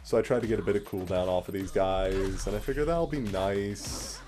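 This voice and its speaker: A man's voice: lowish voice, moderate pace. A man giving casual, explanatory commentary as he plays a game, with a wry way of talking. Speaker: let's play host playing the game. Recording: game music behind.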